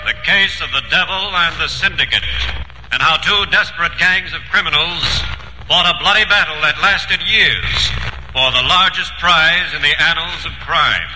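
Piercing, forceful male announcer in reverb. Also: fairly high pitch, normal speed, long pauses. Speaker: radio announcer. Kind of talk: dramatic 1940s radio announcing. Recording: reverberant, faintly noisy old radio recording.

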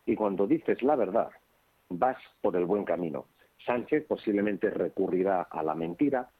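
A man's voice: on the low side, slightly rough, narrow, tinny audio. A man from giving formal, rhetorical political commentary as a guest spokesman, stressing points in emphatic lists. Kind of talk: political commentary in a radio interview.

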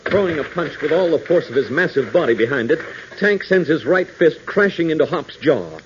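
A man's voice: a little high, animated, normal speed, a little hiss or hum. A man announcing and narrating a 1940s radio serial, with emphatic, dramatic delivery aimed at young listeners. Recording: muffled old radio broadcast.

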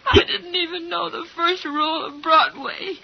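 An actress playing a hurt, bitter young woman with proud, deliberate delivery in a 1950s radio drama. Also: very high-pitched, steady tone, creaky. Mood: hurt, tearful. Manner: wounded, deliberate. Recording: muffled, noisy 1950s radio recording.

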